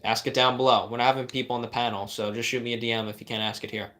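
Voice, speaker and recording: A man's voice: mid-range voice, little pitch movement, very fast, bright, forceful tone. A young man, a life coach, reading viewers' questions on a livestream and answering with blunt, direct advice and rhetorical questions.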